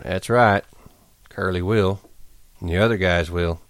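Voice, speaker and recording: A man's voice: low-pitched, measured pace, no room sound. A man voicing a resigned, stern ranch worker with plain, rustic American speech, in an audiobook. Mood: grim, resigned.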